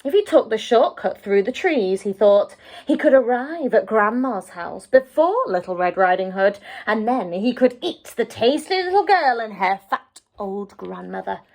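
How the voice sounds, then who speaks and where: Woman, fairly high voice, very animated storybook narration for young children. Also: moderate pace, few pauses, low-quality recording. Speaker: teacher reading aloud to young children.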